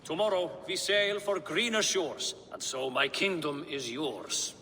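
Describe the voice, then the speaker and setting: A man's voice: a little high, lively intonation, a little slow, pushed and bright, full character voice. An actor playing a defiant, dignified Norse nobleman in a dramatic game scene. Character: defiant Norse nobleman leaving his kingdom. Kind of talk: dramatic scripted game acting. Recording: reverberant hall with faint noise.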